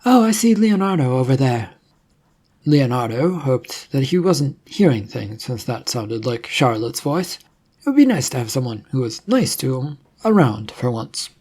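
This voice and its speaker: A narrator reading a scripted adventure story in a husky, gravelly voice. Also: mid-range voice, dark tone. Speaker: audiobook-style narrator.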